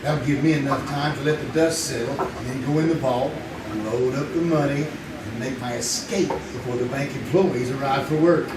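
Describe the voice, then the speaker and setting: An actor playing a small-town would-be bank robber who mutters and gloats to himself in a live radio play. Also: male voice, mid-range voice, fairly even intonation, normal speed, close to an everyday voice. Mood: plotting. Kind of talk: live old-time radio drama acting. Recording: roomy live stage, distant mic, noisy.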